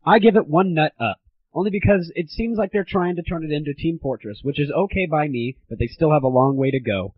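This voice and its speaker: A man's voice: a little high, fairly quick, slightly rough, no room sound. A young man hosting a gaming audio show with casual, opinionated reviews in an irreverent, slangy way on a lo-fi recording. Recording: slightly dull lo-fi home recording.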